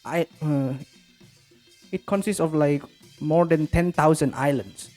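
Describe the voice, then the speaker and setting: A man's voice: fairly high pitch, unhurried, darkish tone, soft background music. A man with an Indonesian accent, talking as a podcast guest in a casual, explanatory conversational way.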